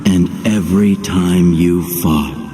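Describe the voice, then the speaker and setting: A commanding unseen game spirit, speaks gravely in a very low voice. The delivery is slow, solemn and portentous, swimming in long reverb. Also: male voice. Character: solemn, commanding disembodied male guiding voice. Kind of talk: video game cutscene acting. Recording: reverberant hall echo, music behind.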